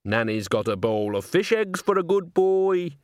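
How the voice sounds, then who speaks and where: A man's voice: on the high side, sing-song, a little slow, clean tone, dry recording, clean studio sound, voice pushed up. A man voicing a doting, cheeky old countrywoman in a storybook, with a cooing, folksy manner.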